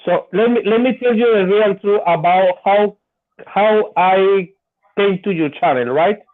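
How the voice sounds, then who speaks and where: A Colombian man, a native Spanish speaker with a Colombian Spanish accent, joining as a guest caller, eager and halting, often repeating words. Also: high voice. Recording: tinny phone-like call line.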